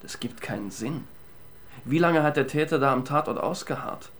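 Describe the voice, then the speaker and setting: A man's voice: on the high side, normal speed, a bit bright, a little hiss or hum, lightly acted. An actor playing a cheeky, thoughtful male police detective in a German radio crime drama, speaking in a wry, conversational way. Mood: pondering.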